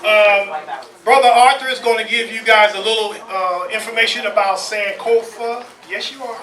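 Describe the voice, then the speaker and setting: A man hosting a live stage event, addressing the audience in a loud, exclamatory, rallying manner. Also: sits high, steady tone, normal speed. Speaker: event host. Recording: echoey, distant live event with crowd.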